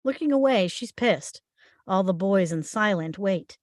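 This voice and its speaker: A woman gives scripted narration of screen directions at a table read. Her pitch sits in the middle range, and the sound is dry with little room echo. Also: lively intonation, measured pace, darkish tone. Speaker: stage direction reader.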